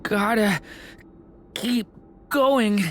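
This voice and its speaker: A woman voicing a gentle, earnest and uncertain young dragon boy in a dramatic video game, speaking haltingly. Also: mid-range voice. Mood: weak, struggling. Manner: hesitant, halting. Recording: faintly noisy game capture.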